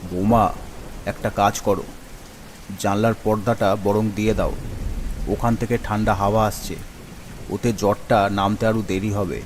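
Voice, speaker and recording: A man's voice: on the low side, steady tone, moderate pace, pauses often, a little hiss or hum, lightly acted. A man playing a kindly, reassuring village schoolmaster in a cartoon story. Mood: reassuring, caring. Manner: reassuring, fatherly, concerned. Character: kindly village schoolmaster who doubles as doctor. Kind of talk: Bengali cartoon story acting.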